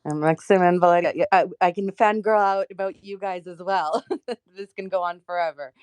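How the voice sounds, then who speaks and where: A woman from Poland of Ukrainian family, speaking with a slight Ukrainian accent in a chatty, lively way as a podcast guest. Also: on the low side, moderate pace, clear, resonant tone, dry recording. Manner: lively, chatty, self-deprecating asides. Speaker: political scientist guest. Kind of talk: interview answers.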